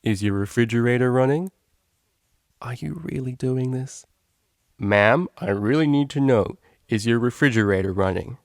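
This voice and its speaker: A man's voice: mid-range voice, moderate pace, no echo, clean studio sound, natural voice. A man voicing a brash young female unicorn DJ, playful and easily flustered, in slangy exclamations. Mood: mock-serious prank.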